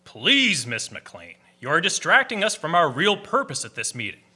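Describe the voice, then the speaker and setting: A man's voice: on the high side, wildly swooping pitch, normal speed, bright, forceful tone, husky, exaggerated voice. A man acting a formal, officious meeting chairman of the 1890s, declaiming in orderly fashion in a radio drama. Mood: exasperated, firm.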